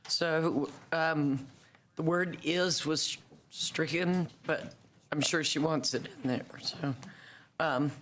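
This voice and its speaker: A woman from the American South with a raspy voice, chairing a public board meeting in a slow, halting way. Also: sits low, fairly bright tone, slight background noise. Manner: slow and halting, with many fillers.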